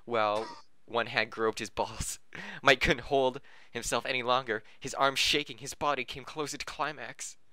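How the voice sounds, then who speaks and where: A man reads a story aloud for a podcast in a bright, forceful voice. He sounds informal and stumbles into corrections and joking asides. The sound is dry, with little room on it. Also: mid-range voice, steady tone, moderate pace, dry recording. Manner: breaks off to laugh, joke and correct misreadings. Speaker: podcast host reading fan fiction aloud.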